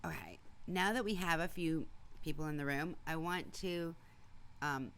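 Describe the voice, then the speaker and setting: A woman's voice: low voice, unhurried, a bit bright, smooth. A woman hosting a casual morning livestream, chatting and rambling with viewers by name while she is losing her voice. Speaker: livestream host. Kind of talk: casual chat with live viewers.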